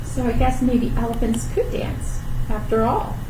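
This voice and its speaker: Female storytime host for small children, lively read-aloud delivery, high register, echoey room with distant mic. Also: measured pace. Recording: roomy, distant mic, faint background noise.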